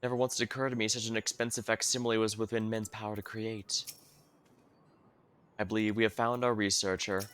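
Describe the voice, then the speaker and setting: Man reading as a formal, smooth-voiced archaic adventurer. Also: fairly low pitch, level tone, brisk, a bit bright, lightly acted. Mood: awed. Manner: formal, old-fashioned phrasing. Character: formal, courteous traveller with archaic speech.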